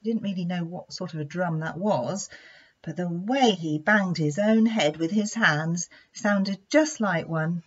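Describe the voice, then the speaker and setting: A woman narrates a story for children with expressive, deliberate delivery. Her voice sits on the low side and has a clearly husky edge. The recording is dull and lo-fi. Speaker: play therapist reading a children's story. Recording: dull lo-fi sound.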